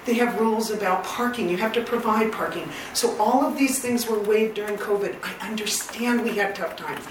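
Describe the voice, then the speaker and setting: Loud-voiced woman in an echoey meeting hall. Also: mid-range voice, normal speed. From Northern California. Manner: earnest, detailed, persistent. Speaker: public commenter. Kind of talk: public comment at a meeting. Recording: echoey hall, far from mic, noisy.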